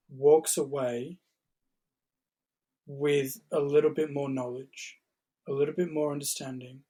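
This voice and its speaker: A man co-hosting a podcast, talking in a measured, encouraging way as he shares anecdotes. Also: mid-range voice, mellow, husky. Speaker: podcast co-host. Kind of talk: casual podcast chat.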